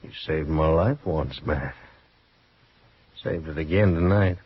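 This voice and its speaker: An actor playing a drunk, wounded gambler in an old western radio drama, husky and halting, with a rueful manner. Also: male voice, extremely low pitch, full character voice. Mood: wry, relieved. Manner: slow, halting, rueful chuckling. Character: wounded gambler gunslinger, drunk, bitterly relieved. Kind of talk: 1950s western radio acting. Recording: dull, dry old radio recording.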